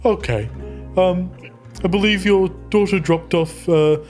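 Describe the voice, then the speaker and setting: Male tabletop player, higher-than-average pitch, courteous, slightly formal, hesitant improvised roleplay. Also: unhurried, darkish tone, soft background music. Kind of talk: polite in-character improvised roleplay.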